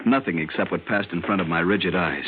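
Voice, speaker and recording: Through a tinny, hissy vintage radio recording comes a man acting a haunted lieutenant. His voice is on the low side, and he tells his ordeal with urgent, earnest intensity, like a man desperate to be believed. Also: quick pace, natural voice. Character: frightened military lieutenant recounting a strange captivity, urgent and haunted. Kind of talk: old-time radio drama acting, first-person narration. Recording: noisy, narrow-band old radio recording.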